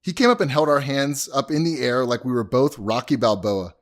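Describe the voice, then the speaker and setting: Male actor as excitable young husband, lively swooping pitch, folksy and informal, audio drama. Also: brisk, natural voice. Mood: amused storytelling. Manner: informal, chatty storytelling.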